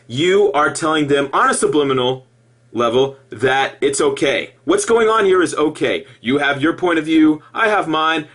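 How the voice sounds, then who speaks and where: A man giving an impassioned personal monologue to viewers, halting and repeating himself, with emphatic stresses. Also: fairly high pitch, fairly bright tone, husky. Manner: halting, repetitive, with emphatic outbursts. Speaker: former Jehovah's Witness video blogger.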